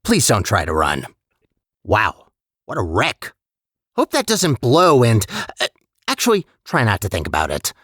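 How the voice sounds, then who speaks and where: Sardonic, wildly animated AI guide, male. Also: on the low side, unhurried, long pauses, slightly rough, no room sound, clean studio sound, heavily acted. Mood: wry, uneasy. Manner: wry, hesitant asides, conversational. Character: sardonic chatty male AI guide, breezily untrustworthy. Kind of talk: video game character voice lines.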